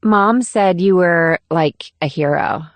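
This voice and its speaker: A woman's voice: fairly low pitch, takes it slowly, no room sound. A woman playing an eager, pouty teenage girl in audiobook dialogue. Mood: eager, smitten.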